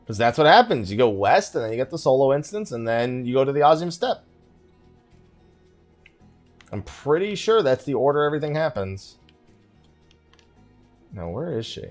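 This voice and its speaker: A man with a mid-range voice hosts a casual let's play. His pitch moves around a lot as he thinks aloud and mutters asides to himself. Game music plays softly behind him. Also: big pitch swings, a little fast. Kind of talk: casual gaming commentary.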